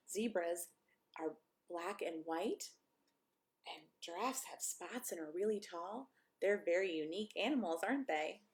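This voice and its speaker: A woman reading a picture book aloud to young children, often asking them questions in an expressive way. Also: lowish voice. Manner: expressive, encouraging questions to listeners. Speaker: pastor reading a story to children. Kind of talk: read-aloud storytime, chatting to young children.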